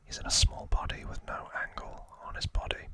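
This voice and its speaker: A man whispering trivia as an ASMR host, in a hushed, informal and unhurried explaining manner. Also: dry recording.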